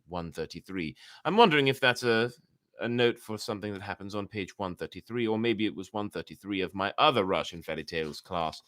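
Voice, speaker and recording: A man's voice: deep voice, fairly bright tone, no echo, clean studio sound. A man hosting an online story time, reading tales and chatting to listeners in rambling asides. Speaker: host reading stories to a live online audience.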